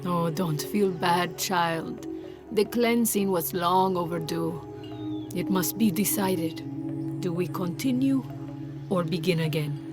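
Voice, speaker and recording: An actress playing a wry, sage outcast merchant in a video game, philosophical and teasing in a slow, cryptic way. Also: female voice, fairly low pitch. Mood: wry, knowing. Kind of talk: video game cutscene acting. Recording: loud music behind the voice. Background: loud music.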